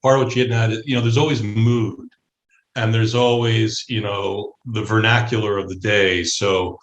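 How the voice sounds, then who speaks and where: A man's voice: fairly low pitch, level tone, normal speed, dull audio. Male, 50s, musician guest in casual interview, reflective delivery, audible room echo.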